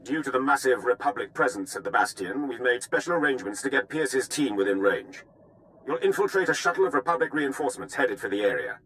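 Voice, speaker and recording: An actor voicing a dutiful military general in a video game, giving a briefing in a formal, measured, deferential way. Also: male voice, mid-range voice, lively intonation, fairly bright tone, slight background noise, lightly acted, poor audio. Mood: formal, businesslike.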